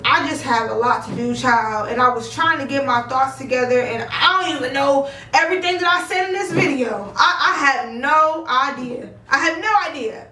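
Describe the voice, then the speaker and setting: A young woman talking bluntly in a casual vlog, swearing freely and projecting a loud voice. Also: high voice, normal speed, few pauses, slight background noise. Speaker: vlogger. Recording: echoey room, voice a bit far from mic.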